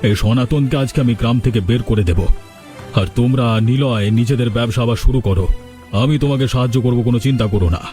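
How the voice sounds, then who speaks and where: Indignant village headman for cartoon, male actor, authoritative, emphatic, medium pitch, music bed. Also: a little fast, dark tone, lightly acted. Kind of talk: cartoon voice acting in a village drama.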